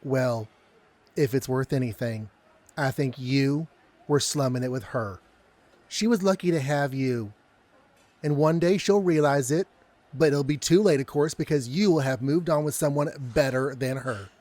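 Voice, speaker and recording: A man playing a cautious, caring young man with a gentle, hesitant manner in an audio drama. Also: mid-range voice, unhurried, dry recording, natural voice. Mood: sympathetic.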